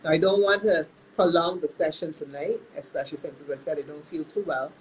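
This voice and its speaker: A woman's voice: deep voice, steady tone, slight background noise, low-quality recording. Nasal-sounding woman hosting a talk. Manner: earnest, measured, with frequent restarts. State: nasal from illness. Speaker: host and counselor. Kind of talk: live-streamed talk monologue.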